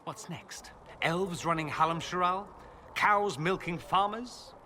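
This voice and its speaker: An actor playing an urbane, sardonic foreign mage in a video game, with dry, theatrical wit. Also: male voice, high-pitched, slow pace, pushed and bright, a little hiss or hum, full character voice. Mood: wry, cautioning. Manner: witty, dry, theatrical sarcasm. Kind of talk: video game dialogue acting.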